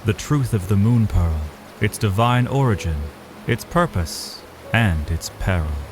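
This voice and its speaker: A man's voice: on the low side, expressive, measured pace, music in the background. A man narrating a scripted fantasy story in a dramatic style.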